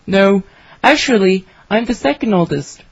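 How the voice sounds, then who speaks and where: A woman reading her part in a scripted English conversation for learners, with clear, careful delivery. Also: mid-range voice, lively intonation, a little slow, no room sound. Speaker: dialogue reader for English learners. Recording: dry, slightly dull studio recording.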